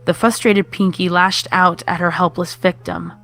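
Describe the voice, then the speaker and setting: A woman's voice: lowish voice, little pitch movement, normal speed, vocal fry, a bit bright. A woman reading out a horror story as scripted narration. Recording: music playing behind the voice.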